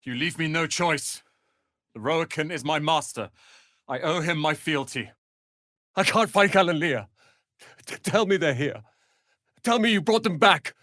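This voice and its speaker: A man's voice: a little high, animated, unhurried, pauses often, sharp tone, dry recording, clean studio sound. A man playing a proud, prickly young apprentice wizard in a video game, speaking in a haughty, clipped and articulate way. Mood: anguished. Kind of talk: dramatic video game voice acting.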